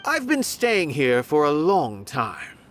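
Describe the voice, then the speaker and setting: A woman's voice: sits low, huge pitch swings, full character voice. A woman voicing an aloof, condescending theater philosopher in a video game, pompous and musing. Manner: pompous, musing, deliberate phrasing. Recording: faint music behind the voice.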